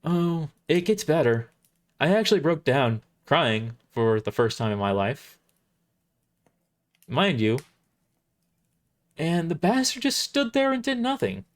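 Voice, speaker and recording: A man's voice: fairly high pitch, very animated, slow, pauses often, pitched above the performer's own voice. Man as a young female mercenary, blunt, sardonic, guarded, casual delivery, audio drama. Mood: blunt, bitter. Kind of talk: amateur audio drama character reading.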